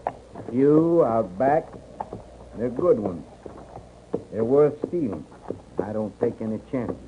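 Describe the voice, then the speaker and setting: An actor playing a hostile, suspicious horse thief guarding his land, with a rural American accent and a curt, gruff manner, in 1950s Western radio style. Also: medium pitch, lively intonation, slow. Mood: suspicious, grudging. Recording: muffled, noisy 1950s radio recording.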